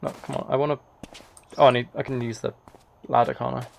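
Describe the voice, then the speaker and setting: A man's voice: medium pitch, flat delivery, fast, creaky, a little hiss or hum. A man live streaming a video game, chatting casually and thinking aloud in a rambling way. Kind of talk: casual chat while playing a video game.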